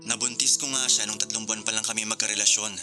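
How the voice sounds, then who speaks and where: Hesitant young Filipino groom. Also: male voice, fairly low pitch, little pitch movement, quick pace, sharp tone, soft background music. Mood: troubled, confessing. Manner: hesitant, confiding, playful. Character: joking, nervous young groom with cold feet. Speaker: actor in a radio love-story drama. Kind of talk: Filipino radio drama dialogue.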